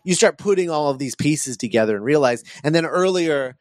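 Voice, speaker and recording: A man co-hosting a film podcast in casual chat, thinking aloud in a halting way. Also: on the high side, lively intonation, dry recording, clean studio sound. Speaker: podcast co-host.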